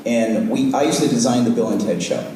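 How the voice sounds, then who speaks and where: Hoarse man telling stories in an echoey hall. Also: mid-range voice, a little fast. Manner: rambling, self-interrupting, chuckling. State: hoarse from shouting. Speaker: event organizer and host. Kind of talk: casual storytelling on a live panel. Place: event hall with a live audience. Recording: distant, echoey room recording with noise.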